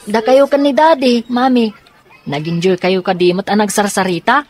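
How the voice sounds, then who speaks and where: A woman's voice: medium pitch, normal speed, darkish tone, close to an everyday voice. Woman acting an insistent young daughter. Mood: eager. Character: scheming, determined young daughter troubled by parents. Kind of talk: radio drama acting.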